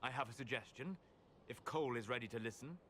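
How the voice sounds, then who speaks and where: A calm, learned male mage, played by an actor for a fantasy game. His delivery is measured and formal, with precise wording, at a middling pitch over some background noise. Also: lightly acted. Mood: measured, explaining. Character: calm, scholarly male mage who befriends spirits. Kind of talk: video game voice acting.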